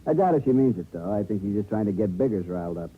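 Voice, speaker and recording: A man's voice: fairly low pitch, animated, fast talker, natural voice. An American man playing a brash, slangy, money-minded promoter in an old-time radio drama. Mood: breezy. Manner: slangy, breezy. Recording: vintage narrow-band radio, faint noise.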